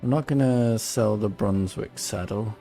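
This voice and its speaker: A man's voice: fairly low pitch, measured pace, creaky, dark tone. A man casually commentating as he plays a video game on stream, muttering and thinking aloud. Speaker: livestreamer playing a video game. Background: game music and horse sounds.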